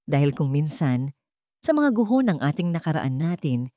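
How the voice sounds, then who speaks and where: A woman's voice: on the low side, a little mellow, no room sound, studio quality. A Filipino woman reading a scripted romantic drama story in an earnest, sentimental storyteller's manner. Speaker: storyteller, narrator of an online story channel.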